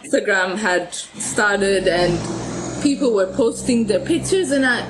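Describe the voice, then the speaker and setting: A woman from Ethiopia talks easily as a podcast guest, her voice sitting at an ordinary middle pitch. She laughs often and stumbles over word starts. A faint hiss sits beneath her speech. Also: lively intonation, unhurried. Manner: warm, laughs often, restarts words. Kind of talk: conversational interview.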